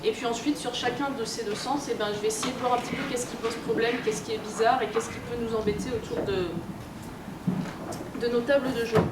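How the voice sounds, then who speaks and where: A woman's voice: on the high side, steady tone, brisk. A woman giving an informal conference talk in a conversational, hesitant way, with many fillers. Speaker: lecturer, games researcher and editor. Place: indoor convention talk room. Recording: roomy, noisy convention room, distant mic.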